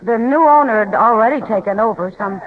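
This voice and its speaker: A woman's voice: a little high, fairly quick, natural voice. An actress playing a friendly saloon woman who talks in a breezy, teasing way, in an old radio drama. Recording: tinny old radio broadcast.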